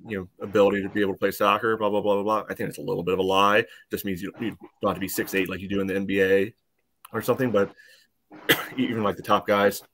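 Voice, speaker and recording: A man breaking down a soccer match casually as a podcast panelist, in a halting way peppered with "you know". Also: lowish voice, level tone, fairly bright tone, slight background noise.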